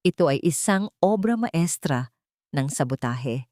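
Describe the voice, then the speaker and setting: Woman storyteller, solemn dramatic narration, slightly low voice, really dry sound. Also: animated, measured pace, a little mellow, dry recording.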